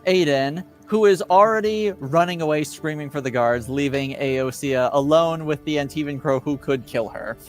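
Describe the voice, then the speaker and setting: A man acting as game master, casually recapping a tabletop roleplaying story in a halting, drawn-out way. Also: fairly high pitch, faint music behind.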